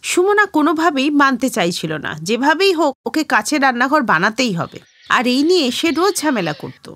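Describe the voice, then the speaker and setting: A woman's voice: high-pitched, sing-song, normal speed, a bit bright, no echo, clean studio sound. A woman narrator reading a scripted children's story.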